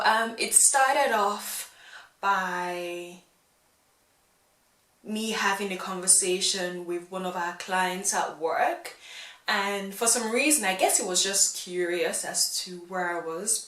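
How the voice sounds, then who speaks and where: A young woman of British and Nigerian background talking straight to camera in a casual vlog, chatty and animated, laughing now and then. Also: lowish voice, roomy sound. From England and Nigeria. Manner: chatty, animated with hand gestures, laughs often. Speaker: vlogger. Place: indoors against a plain grey wall. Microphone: camera in front, head-and-shoulders framing.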